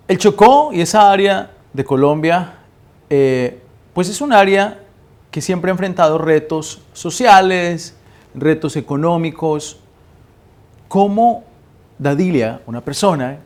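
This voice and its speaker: A man's voice: a little high, a little mellow. A man hosting a podcast interview, asking questions in an enthusiastic, emphatic way. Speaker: podcast host.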